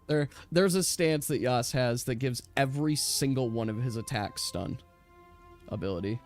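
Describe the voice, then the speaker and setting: A man running a tabletop game, explaining rules casually in a chatty, halting way. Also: medium pitch, soft background music. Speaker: game master.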